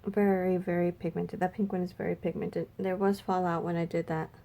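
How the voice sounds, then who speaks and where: A woman makeup vlogger chatting casually and thinking aloud, her voice a little raspy and stuffy from a cold. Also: fairly low pitch, little pitch movement, a little fast, dark tone. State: slightly raspy, congested from a cold. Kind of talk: casual makeup swatch review chat.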